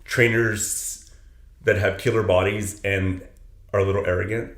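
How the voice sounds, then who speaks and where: A man's voice: deep voice, steady tone, a little slow, a bit bright. A man from south Texas whose first language is Spanish, a personal trainer talking earnestly in a casual interview, full of "you know"s. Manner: earnest, filler-heavy, many "you know"s. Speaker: personal trainer, podcast guest. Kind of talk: casual reflective interview talk. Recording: roomy echo with faint ambience.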